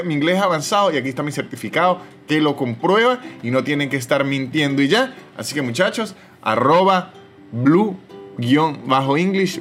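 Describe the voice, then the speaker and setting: A man in his thirties from Venezuela with a Venezuelan accent, hosting a casual solo podcast and reading ads in a chatty, animated way. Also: a little high. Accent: Venezuelan Spanish. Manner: chatty, animated, swings between loud and soft. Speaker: podcast host.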